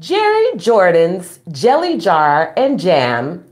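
A woman's voice: on the low side, huge pitch swings, slow, darkish tone. A middle-aged woman hosting a storytime, reading aloud to young children with exclamations, sing-song emphasis and questions to her listeners. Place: small room at home, indoors.

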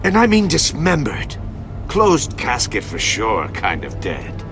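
Smug older riddle villain for a game, slow and gravelly, taunting, music behind. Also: male voice, mid-range voice, wildly swooping pitch, heavily acted. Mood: mocking cruelty. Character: gloating sadistic older male riddle-obsessed supervillain. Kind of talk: video game villain acting.

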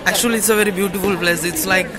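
A man's voice: high-pitched, steady tone, rapid-fire. A young man casually narrating a walking travel vlog, enthusiastic and leaning on the word "actually", recorded on a handheld phone in a crowded outdoor courtyard at night. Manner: enthusiastic, repeats "actually", simple phrasing. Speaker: travel vlogger. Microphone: handheld phone camera. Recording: phone audio with loud crowd and music. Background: loud festival crowd and music.

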